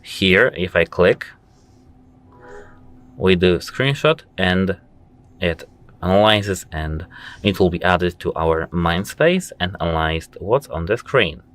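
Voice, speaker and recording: A man with a very low voice hosts a casual how-to video. He walks through each setting step by step and keeps pointing things out with "as you can see". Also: lively intonation, slow pace, long pauses, fairly bright tone. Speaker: tech tutorial host. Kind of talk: casual phone how-to walkthrough.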